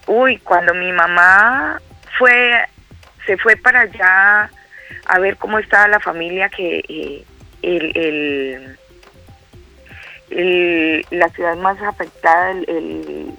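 A woman's voice: lowish voice, slow pace, vocal fry, long pauses, pushed and bright, soft background music, low-quality recording. A middle-aged Colombian woman speaking by phone, hesitant, with many fillers and repeated words. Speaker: guest caller. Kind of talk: phone interview.

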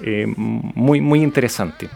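A middle-aged man with a Chilean accent answering in a radio interview, speaking conversationally in a hesitant, halting way. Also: fairly low pitch, creaky, darkish tone. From Puerto Varas, southern Chile. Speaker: interview guest, regional government official.

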